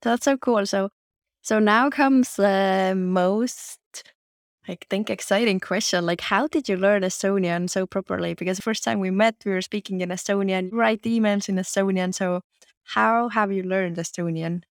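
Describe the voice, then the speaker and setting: A woman's voice: mid-range voice, steady tone, normal speed, no echo, clean studio sound. A woman interviewing a guest, asking curious, encouraging questions in a casual chat.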